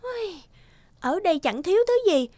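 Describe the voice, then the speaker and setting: High-pitched spoiled young lady. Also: sing-song, normal speed, warm tone, dry recording. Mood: dismissive. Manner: dismissive, dramatic. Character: vain, spoiled young married lady, haughty and demanding. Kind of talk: animated cartoon voice acting.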